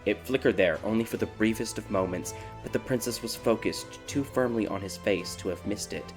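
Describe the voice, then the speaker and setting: A man reading a scripted dark fairy tale as a dramatic audiobook narrator. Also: fairly low pitch, normal speed. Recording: background music behind the voice.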